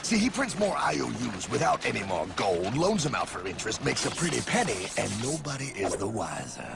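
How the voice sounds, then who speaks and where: A man's voice: mid-range voice, wildly swooping pitch, brisk, gravelly. A man with an American accent voicing a brash, theatrical, streetwise cartoon mentor who preaches and talks cockily. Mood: smug. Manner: dramatic, cocky, preachy, calls listener pal. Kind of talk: animated explainer cartoon acting. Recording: music bed behind the voice.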